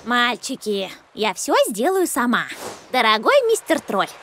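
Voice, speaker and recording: A woman's voice: on the high side, big pitch swings, a little slow, fairly bright tone, a little hiss or hum. A woman voicing a spirited, confident little girl bard in a cartoon, dramatic and bossy. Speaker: cartoon character. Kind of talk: animated cartoon acting. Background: music.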